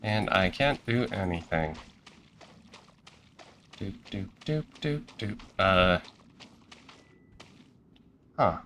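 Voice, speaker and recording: A man's voice: deep voice, lively intonation, very slow, long pauses, fairly bright tone, a little hiss or hum. A man giving casual live game-stream reactions in short muttered asides, with playful little filler noises.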